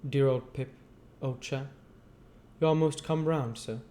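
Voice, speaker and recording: A man puts on a kindly, humble working-class older fellow from a storybook. The voice sits well up in pitch, with halting, deferential country phrasing. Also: mellow, close to an everyday voice. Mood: fond. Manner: rustic dialect, deferential, halting.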